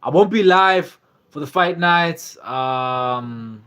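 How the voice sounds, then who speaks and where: A young man with a slightly high voice, rambling casually through a live stream. He loops back on his words, leans on fillers, and reads viewer comments aloud as he goes. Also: animated, takes it slowly, fairly bright tone, smooth. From South Africa. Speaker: livestream host.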